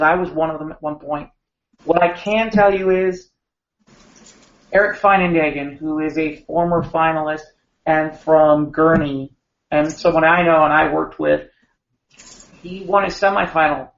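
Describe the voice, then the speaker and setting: A man co-hosting a podcast, a bit hoarse after a long weekend of talking, rambling and restarting his sentences. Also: a little high, a bit bright. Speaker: podcast co-host. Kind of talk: casual podcast chat. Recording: echoey room, dull lo-fi sound.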